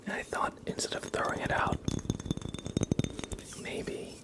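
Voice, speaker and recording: A man doing casual ASMR live-stream chat in a soft, whispery, hushed voice, rambling informally. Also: measured pace.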